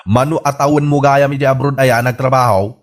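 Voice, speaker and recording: A man's voice: medium pitch, steady tone, fairly quick, lightly acted. A man playing a mocking, scornful villager in an Ilocano radio comedy drama, with an Ilocano accent. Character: mocking male villager scoffing at boastful returnee.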